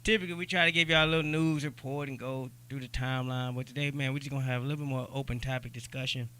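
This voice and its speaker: A man's voice: mid-range voice, steady tone, racing, pushed and bright, clear, resonant tone. An American man hosting a podcast in a casual chat style, with slangy, informal talk aimed straight at the listeners. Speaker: podcast host.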